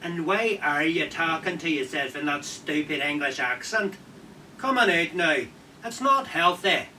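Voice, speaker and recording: Heard from a distance over faint noise, a woman plays a concerned mother in a radio drama. Her voice is very bright and piercing. She nags and coaxes with exasperation, calling out to her son. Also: deep voice, lively intonation, a little slow, heavily acted. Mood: coaxing, concerned. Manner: coaxing, exasperated. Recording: distant, muffled-off voice with background noise.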